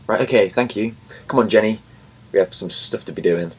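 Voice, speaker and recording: A man's voice: lowish voice, a little fast, husky, muffled recording. A man playing an eccentric, impatient alien time traveler in an audio drama, with a flustered, blunt delivery. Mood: urgent.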